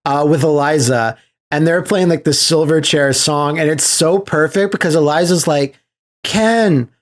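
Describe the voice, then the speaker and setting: A man hosting a podcast in casual chat, telling stories in an animated, sweary way with laughter mixed in. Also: on the high side, unhurried. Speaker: podcast host.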